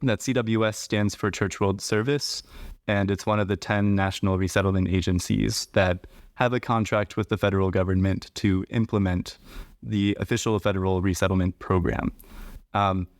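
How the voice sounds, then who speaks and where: A man hosting a podcast interview, speaking in a measured, explanatory way. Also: low-pitched, fairly even intonation, no echo, studio quality. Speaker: podcast host.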